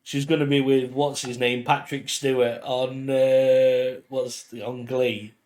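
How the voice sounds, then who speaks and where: A young man co-hosts a podcast, teasing his partner and breaking into laughter often. He talks at a slow, drawn-out pace. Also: mid-range voice, flat delivery, a little mellow. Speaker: podcast co-host. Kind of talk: casual chat.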